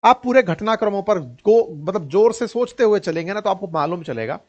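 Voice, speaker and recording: A man with an Indian accent and a notably high voice explains the news in a colloquial, sarcastic way. He speaks straight to the listener and often asks rhetorical questions. The recording sounds slightly dull and lo-fi. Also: lively intonation, moderate pace, a little mellow. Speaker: news commentator and presenter. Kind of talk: informal news commentary, explainer.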